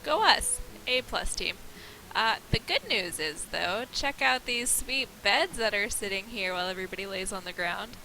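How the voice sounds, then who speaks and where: Nasal woman, mid pitch, slight cold; rambling, self-mocking let's play host; noisy desk-mic recording. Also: lively intonation, normal speed, bright, forceful tone. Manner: chatty, self-deprecating, rambling. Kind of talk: casual gameplay commentary.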